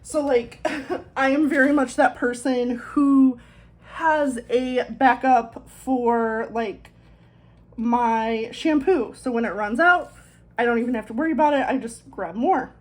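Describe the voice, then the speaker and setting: A woman's voice: a little high, slow pace. A woman chatting casually as she decorates a planner, her voice a little thick from swollen tonsils, rambling in self-deprecating asides. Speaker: planner vlogger.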